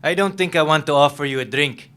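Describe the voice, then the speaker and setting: An actor playing a menacing, volatile lieutenant who taunts and needles with abrupt questions in a dramatic reading of a novel. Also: male voice, on the high side, fast talker, sharp tone. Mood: mocking menace.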